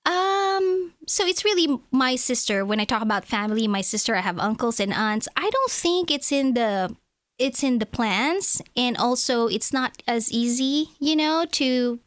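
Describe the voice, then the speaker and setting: A woman's voice: on the high side, lively intonation, unhurried, dry recording, clean studio sound. Chatty woman from the Philippines, Tagalog native. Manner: chatty, laughs often, acts out quotes. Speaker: realtor, interview guest. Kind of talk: casual interview answers.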